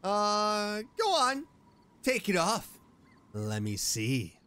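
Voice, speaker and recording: Female streamer, blunt sceptic, animated delivery at an easy, slow pace, faint game music behind. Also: medium pitch. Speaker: streamer playing and reading along. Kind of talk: casual reactions to a game.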